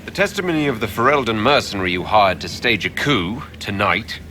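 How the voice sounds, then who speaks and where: An actor playing a stern, commanding Inquisition leader in a fantasy game, with a formal, firm manner. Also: male voice, lowish voice, expressive, brisk, pushed and bright, a little hiss or hum. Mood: stern, pointed. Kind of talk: dramatic fantasy game acting.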